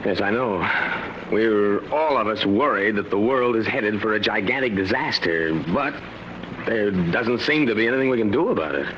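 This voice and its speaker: An actor playing a loyal, earnest assistant to a professor, respectful and eager, in 1950s radio drama style. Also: male voice, lowish voice, animated, normal speed, natural voice. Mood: concerned. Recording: dull, noisy old radio recording.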